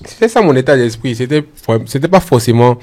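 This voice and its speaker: A young man from Benin with a Beninese French accent, talking in a relaxed way as a guest in an interview. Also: medium pitch, a little fast. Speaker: guest, web comedian turned musician. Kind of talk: casual interview talk.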